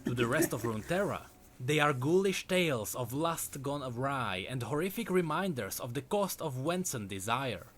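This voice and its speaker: Dramatic male lore narrator, animated pitch. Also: normal speed. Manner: dramatic storytelling delivery. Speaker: narrator of a game lore video. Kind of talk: scripted narration.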